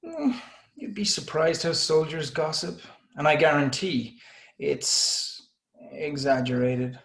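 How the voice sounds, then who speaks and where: A man playing a wry, confident bounty cop with a gravelly, laconic, teasing delivery in a screenplay read. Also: on the high side, slow pace, a bit bright, full character voice. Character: wry, confident male bounty cop, smooth flirtatious swagger. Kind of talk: screenplay table read, dramatic dialogue.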